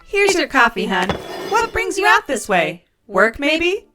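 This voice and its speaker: A woman's voice: high-pitched, big pitch swings, a little slow, music in the background. A woman playing a brassy, motherly diner hostess in an audio drama, with a sassy, folksy way of talking. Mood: teasing, probing.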